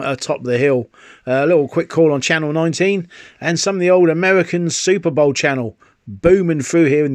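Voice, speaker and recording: A man's voice: a little high, dry recording. British man presenting an enthusiastic hobby review. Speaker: presenter of radio hobby videos. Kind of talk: enthusiastic product review narration.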